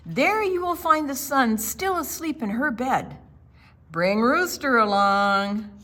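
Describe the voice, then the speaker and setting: A woman voicing a wise barn owl from a storybook, handing out solemn instructions in measured, weighty phrases. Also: on the high side, expressive, a bit bright, voice pushed up. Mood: instructive, grave. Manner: slow, measured, weighty pronouncements.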